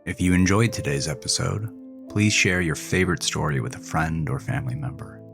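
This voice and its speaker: Low-voiced man hosting a podcast. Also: fairly quick, vocal fry. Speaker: podcast host and narrator. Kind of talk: scripted podcast sign-off. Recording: music behind the voice.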